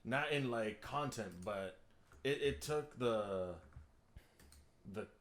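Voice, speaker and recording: A man joining a podcast chat, speaking softly and hesitantly. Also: medium pitch, lively intonation, slow. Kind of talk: casual chat.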